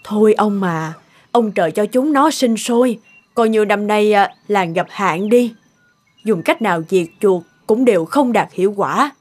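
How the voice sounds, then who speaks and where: A woman's voice: mid-range voice, lively intonation, unhurried. A woman playing a worried, nagging middle-aged village farmer's wife in a cartoon, fretful and scolding. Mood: chiding, resigned. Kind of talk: cartoon dialogue.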